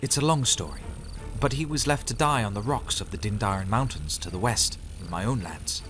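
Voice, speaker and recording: An actor playing a calm, courteous knight in a fantasy audio drama, speaking in an earnest, measured way. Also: male voice, fairly low pitch. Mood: explaining. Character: calm, courteous male knight and dragon rider.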